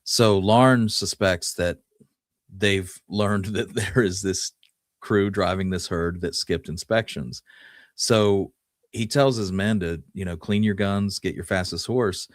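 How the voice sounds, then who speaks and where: A man co-hosting a podcast, telling a history story conversationally with folksy, halting phrasing. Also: low-pitched, steady tone, no room sound, clean studio sound.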